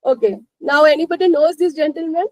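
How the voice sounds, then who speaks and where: Webinar instructor: Indian-accented woman with a high-pitched voice, explaining conversationally, recorded dry. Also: fairly quick, dry recording. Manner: repeats 'you know, like' and checks understanding. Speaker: presenter of a finance webinar. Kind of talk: live explanatory lesson.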